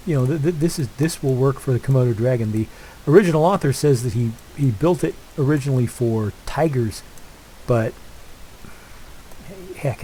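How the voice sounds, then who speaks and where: An older man with a head cold narrates his gameplay in a mellow, congested voice. He rambles casually, circling back with fillers and restarts. A faint hiss sits under the recording. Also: mid-range voice, poor audio. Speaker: let's play host.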